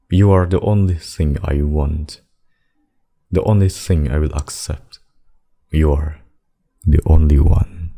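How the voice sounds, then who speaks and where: A man voicing a possessive demon king and lover in a scripted ASMR roleplay, speaking deliberately and intimately. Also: deep voice, dark tone, heavily acted. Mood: menacing, possessive. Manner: slow, deliberate, intimate addresses with pet names. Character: possessive demon king, menacing abductor turned tender secret lover.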